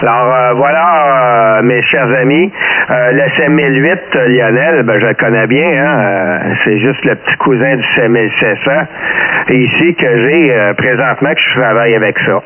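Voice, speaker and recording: A man's voice: mid-range voice, normal speed. A man with a Québécois French accent chats casually as an amateur radio operator, rambling with hesitant, colloquial phrasing over a radio transmission. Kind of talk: casual radio contact chat. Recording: muffled narrow-band amateur radio transmission.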